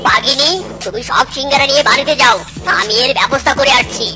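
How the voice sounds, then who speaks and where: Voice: sits high, fast talker. A performer voicing a furious, threatening animal father in a children's cartoon, with music behind. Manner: blustering, threatening.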